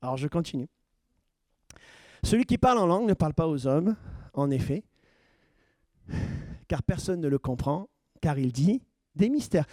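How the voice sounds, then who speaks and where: A man's voice: fairly high pitch, very animated, moderate pace, pauses often, darkish tone, no echo. A man preaching as pastor, questioning his congregation and building to emphatic points. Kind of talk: sermon teaching.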